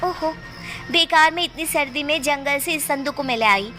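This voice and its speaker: A woman playing a curious, easily frightened young daughter in an animated horror story, with dramatic, exclamatory delivery. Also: sits high, normal speed, soft background music. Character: frightened, curious young daughter who discovers magic powers.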